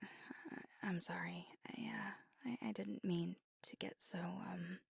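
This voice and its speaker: A woman voicing a gravelly-whispered young vampire. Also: on the low side, level tone, slow pace, no echo, studio quality, exaggerated voice. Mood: wistful. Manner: soft whispering, intimate. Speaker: ASMR roleplay performer. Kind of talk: ASMR roleplay. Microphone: close mic.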